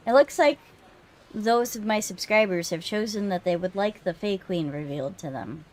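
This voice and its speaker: A woman's voice: mid-range voice, moderate pace, a little hiss or hum. Phlegmy-throated woman chatting on a livestream. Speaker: streamer hosting a visual novel readthrough. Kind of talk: casual livestream chat.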